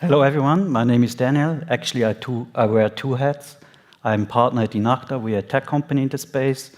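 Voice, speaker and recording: A man with a medium-pitched voice speaks on a business panel. He introduces himself informally, as a company partner would. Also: a little fast.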